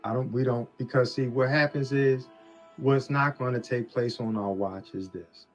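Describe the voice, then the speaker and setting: A man's voice: mid-range voice, steady tone, normal speed. Insistent, lecturing man on a phone call. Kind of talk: argumentative phone conversation. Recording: leaked phone call with music underneath.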